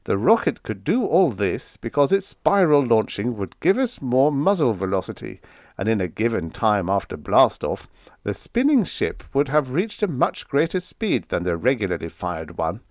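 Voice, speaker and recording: Man reading as a confident, animated company boss. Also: medium pitch, normal speed, no echo, clean studio sound. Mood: confident, rallying. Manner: explanatory, rallying speechmaking. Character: confident, persuasive male boss of a rocket company. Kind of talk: audiobook character dialogue.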